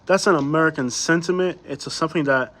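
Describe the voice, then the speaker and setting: A man's voice: fairly high pitch, fast, fairly bright tone. A man from Cuba, a native Spanish speaker, hosting a livestream with casual, conversational chat full of rhetorical questions. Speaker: livestream host. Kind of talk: casual chat, answering live viewer comments.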